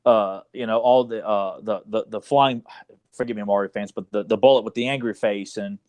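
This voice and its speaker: A man's voice: on the low side, fairly even intonation, normal speed, a little mellow. A man co-hosting a podcast, talking casually about movies in a rambling way full of asides. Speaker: podcast co-host.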